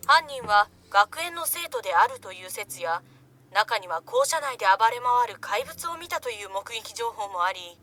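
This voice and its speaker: Fast, bright news presenter. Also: female voice, a little high, big pitch swings, full character voice. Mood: serious, formal. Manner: formal, measured articulation. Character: serious female television news presenter. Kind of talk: formal news reading in visual novel.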